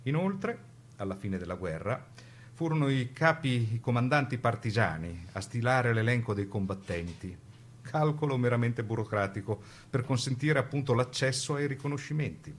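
A man giving an emphatic, rhetorical scripted narration for a radio documentary play, with weighted pauses. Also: mid-range voice, animated, slight background noise.

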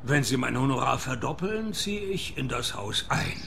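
A man playing a wry, laconic private detective in a radio play, dry and deadpan. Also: mid-range voice, normal speed, gravelly, a little hiss or hum, full character voice. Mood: dry, detached. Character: wry, laconic male private detective narrating his cases. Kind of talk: radio play narration and dialogue.

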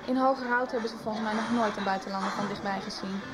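A woman's voice: medium pitch, steady tone, quick pace, loud music behind the voice, close to an everyday voice, rough recording. An actress playing a frank, teasing young woman in a 1990s radio soap. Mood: teasing, indignant. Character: frank, teasing young female best friend.

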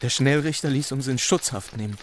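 A man's voice: mid-range voice, fairly quick, a little hiss or hum, close to an everyday voice. An actor playing a troubled, bewildered young man in a radio drama, recounting things in an earnest, brooding way. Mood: confessing. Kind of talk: German radio drama acting.

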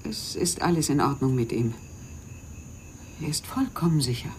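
An actress playing an embittered, self-pitying woman in a radio crime drama, intense and often dropping into breathy whispers. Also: sits low, very animated, moderate pace, slight background noise. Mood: evasive calm. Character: embittered, self-pitying woman, a desperate mother who feels cheated.

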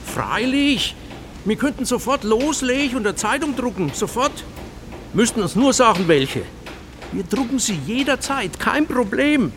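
An actor playing an eager, obliging German printer in a radio play, chattering and repeating himself in a servile manner. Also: male voice, extremely high pitch, sing-song, a little slow, noisy background, exaggerated voice. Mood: eager, delighted.

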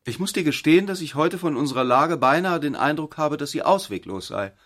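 An actor playing a sober, brooding intellectual man in a literary radio drama, speaking in a deliberate, analytical way. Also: on the high side, animated, a bit bright. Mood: grave, reasoning. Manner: deliberate, analytical, measured phrasing. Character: Ulrich, sober brooding intellectual man, weighing a hopeless situation.

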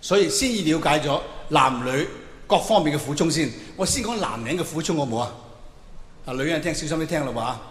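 A man's voice: on the high side, lively intonation, a little fast, a bit bright. A middle-aged man with a Hong Kong Cantonese accent performing a stand-up monologue, colloquial and theatrical, throwing questions out to his listeners. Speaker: stand-up comedian. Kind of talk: stand-up comedy monologue to a live audience. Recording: echoey hall with long reverb, faint noise.

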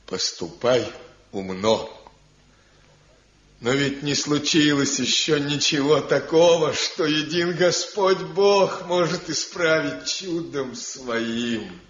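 A man's voice: a little high, slow pace, fairly bright tone. A man acting a grave, counselling village elder in a radio drama. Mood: solemn. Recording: echoey, muffled 1970 radio drama recording.

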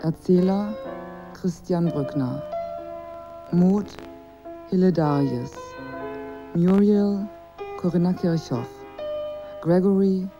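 A woman's voice: lowish voice, slow, pauses often. A woman reading formal scripted credits as a radio announcer. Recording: slightly dull, music behind. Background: music.

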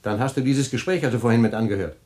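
An actor playing a husband hiding a secret, smooth and charming with friends but defensive and quick to lose his temper. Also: mid-range voice, lightly acted. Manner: smooth, then flustered and shouting on the phone. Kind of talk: radio play acting.

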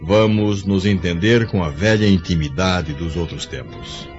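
An actor playing an authoritative, courteous Roman emperor in a radio drama, stately and formal. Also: male voice, deep voice, unhurried. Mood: stately, confiding. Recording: dull lo-fi recording, music behind.

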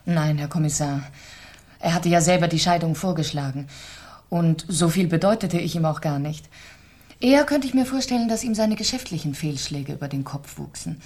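A low-pitched, cool and composed society wife, newly widowed. She speaks deliberately and articulately, in the manner of a 1970s radio crime play. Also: expressive, a little mellow. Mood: guarded, cool. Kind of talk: 1970s German radio crime drama acting.

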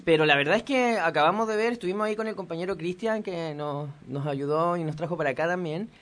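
A man's voice: on the high side, fast talker, a bit bright. A Chilean man, a theater actor guesting on the radio, giving thoughtful, rambling explanations. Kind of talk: radio interview.

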